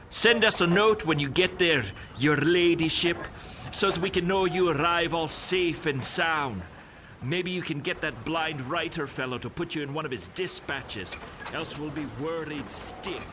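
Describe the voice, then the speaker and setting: An actor playing a crude, mocking riverboat captain with a rough rustic dialect and a sneering manner in an audio drama. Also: male voice, a little high, a little slow, close to an everyday voice. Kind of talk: full-cast audio drama acting. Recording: loud background ambience noise.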